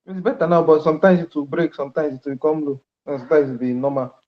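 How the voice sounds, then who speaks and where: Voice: medium pitch, steady tone, fast talker, muffled recording. A student speaking up on an online video call to tell the tutor how his audio sounds. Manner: brief remarks to the tutor.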